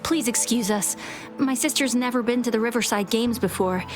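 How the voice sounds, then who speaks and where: A woman's voice: on the high side, moderate pace, over music, lightly acted. A woman voicing a polite, apologetic sibling in a video game.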